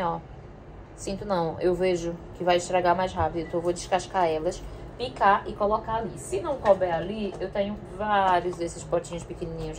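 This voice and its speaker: A woman's voice: lowish voice, a little mellow, slight background noise, low-quality recording. A young woman chatting casually to her viewers while sorting food in her home kitchen, filmed by a camera on the counter. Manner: chatty, addresses viewers often. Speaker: vlogger. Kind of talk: casual kitchen vlog chat.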